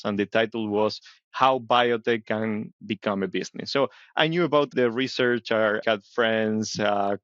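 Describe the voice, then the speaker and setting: A middle-aged man from Argentina speaks in a fairly low voice, explaining his path into biotech in an easy conversational way. The audio is dry and a little dull, like a remote interview recording. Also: fairly bright tone, dry recording. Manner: thoughtful, explains with frequent "right?". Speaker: biotech company CEO, guest.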